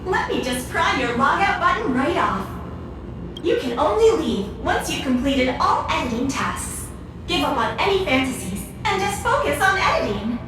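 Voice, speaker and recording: A woman's voice: high-pitched, big pitch swings, normal speed, music in the background. A woman voicing a bossy, mocking computer system in a video game. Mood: teasing. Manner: teasing, officious. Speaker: game character. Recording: roomy echo, distant, noisy.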